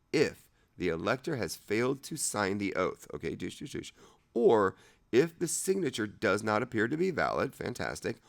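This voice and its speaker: Deep, creaky-voiced man reading with sarcastic asides. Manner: halting, rereading and self-correcting. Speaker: amateur reader of a legal document. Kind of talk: reading legal text aloud with offhand personal commentary.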